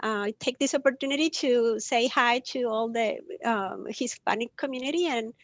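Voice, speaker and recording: Woman from Costa Rica, Spanish first language, higher voice, dry close sound, gentle, hesitant. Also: no room sound. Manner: warm, gentle, many um pauses. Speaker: guest, compassion trainer. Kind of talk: live video interview.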